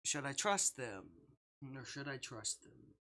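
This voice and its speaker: A man's voice: mid-range voice, sing-song, unhurried, pushed and bright. A man live-streaming a game, reading a visual novel aloud dramatically with playful asides.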